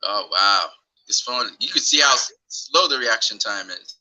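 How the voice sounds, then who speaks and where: A man's voice: lowish voice, expressive, normal speed, pushed and bright, low-quality recording. A man from Trinidad and Tobago hosting a casual livestream chat on his phone, rambling and repeating words as he goes. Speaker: livestream host.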